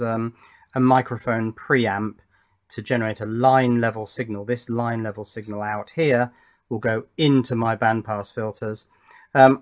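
A middle-aged man presenting an informal hands-on electronics demonstration, unhurried and thinking aloud as he explains. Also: medium pitch.

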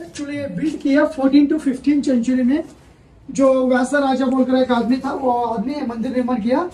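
A woman with a high, mellow voice explains things in simple, broken Hindi as a local guide. She is recorded outdoors from some distance away, with faint hiss behind her. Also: steady tone, normal speed, soft background music. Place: outdoors at a riverside temple.

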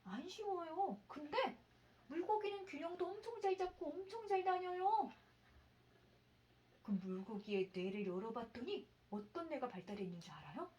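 Lively high-pitched woman reading a storybook. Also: moderate pace, lo-fi sound. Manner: teacherly, asks the child questions. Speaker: mother reading a science storybook with her child. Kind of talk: expressive storybook read-aloud with explanations.

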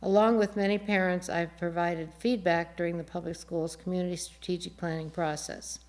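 A woman moderating a formal forum in a measured, deliberate way, reading prepared words with small stumbles. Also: on the low side, fairly even intonation. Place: TV studio.

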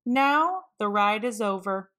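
A woman reading a children's storybook aloud, expressively and with deliberate emphasis for young listeners. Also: medium pitch, lively intonation, fairly bright tone. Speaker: children's read-aloud narrator.

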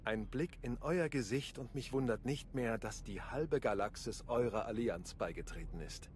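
An actor playing a charming, roguish intelligence agent in a video game, smooth-talking, breezy and flirtatious. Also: male voice, medium pitch, moderate pace, a little mellow, slight background noise, close to an everyday voice. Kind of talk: video game cutscene acting.

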